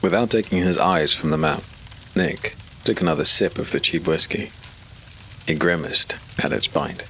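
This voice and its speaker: A man narrating a dark fantasy audiobook in a steady, measured way. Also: sits low, a little hiss or hum.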